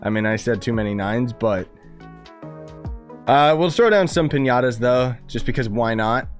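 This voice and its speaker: A man's voice: mid-range voice, lively intonation, moderate pace, soft background music. A man live streaming a game with casual, rambling, slangy commentary, his voice a bit worn from coughing. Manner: informal, rambling, gamer slang. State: losing voice, coughing.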